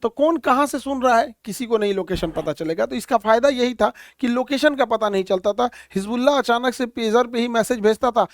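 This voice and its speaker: High-voiced man giving a lecture. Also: fairly quick, few pauses, slight background noise. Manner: explanatory, points at a map, frequent fillers. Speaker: current affairs teacher. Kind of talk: explainer lecture.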